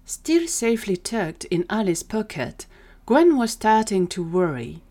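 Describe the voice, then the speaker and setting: A woman's voice: medium pitch, very animated, a little slow, dark tone. A woman narrating a scripted audio drama story as the storyteller.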